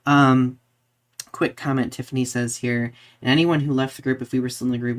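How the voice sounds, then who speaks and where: A man co-hosting a live podcast in casual discussion, halting and peppering his talk with "like" and questioning tags. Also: mid-range voice. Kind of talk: casual discussion, reading viewer comments.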